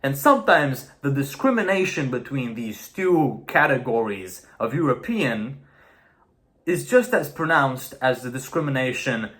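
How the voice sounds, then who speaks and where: A man's voice: mid-range voice, very animated, unhurried, a bit bright. Blunt young man, Romanian native speaker. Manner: earnest and blunt, swears for emphasis. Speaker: video essayist. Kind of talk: opinionated monologue to camera.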